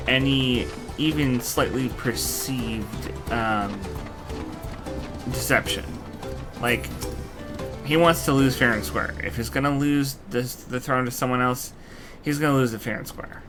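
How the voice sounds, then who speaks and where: A man giving casual, slightly halting commentary as he streams a video game playthrough. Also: medium pitch. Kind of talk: casual playthrough commentary and reading dialogue aloud. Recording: game music behind the voice.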